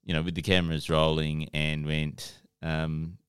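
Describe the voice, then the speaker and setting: A man's voice: rumbling low, level tone, a little slow, clear, resonant tone, dry recording, clean studio sound. A man telling a story on a podcast in a wry way, often acting out other people's lines. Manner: wry, acts out quoted voices. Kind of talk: casual storytelling chat.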